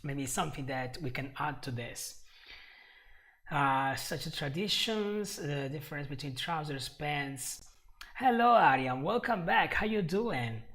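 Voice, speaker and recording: Slow, gravelly Italian-accented teacher. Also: male voice, a little high, fairly bright tone. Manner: patient, repeats and restarts while explaining. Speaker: English teacher. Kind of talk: live-stream English lesson.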